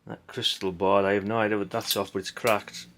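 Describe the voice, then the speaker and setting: A middle-aged man rambles casually as he hosts a hobby video. His voice sits fairly low and has a heavy gravelly creak. He is dry, blunt and a bit sweary, over faint background noise and the clatter of handled parts. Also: little pitch movement, fairly quick, a bit bright. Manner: dry, blunt, mildly sweary, tag questions. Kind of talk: casual rambling commentary while sorting old electronics. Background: clicks and clatter of handled parts.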